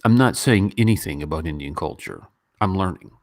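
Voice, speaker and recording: Male livestream host, low-pitched and informal, rambling conversational delivery, very dry close sound. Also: brisk, no echo. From Western country. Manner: informal, animated when mimicking others, rambling. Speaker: YouTube host. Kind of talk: casual livestream chat and commentary.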